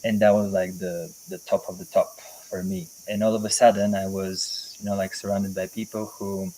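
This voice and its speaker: A man, a guest on a video call, talking reflectively and pausing often to find his words. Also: deep voice, little pitch movement, mellow, a little hiss or hum, poor audio. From Bulgaria. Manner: reflective, thinks aloud with many pauses and "you know, like". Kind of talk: casual interview. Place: home in a mountain forest.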